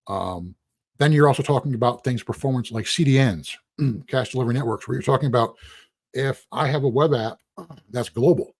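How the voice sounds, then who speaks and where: A husky man, losing his voice, teaching a casual live-streamed lecture in a rambling, conversational way with asides. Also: mid-range voice, fairly quick, no echo. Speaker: instructor.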